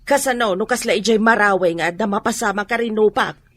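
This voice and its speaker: A woman's voice: medium pitch, fairly quick, no echo, lightly acted. A woman with an Ilocano accent playing a worried, scolding middle-aged rural mother in a radio drama.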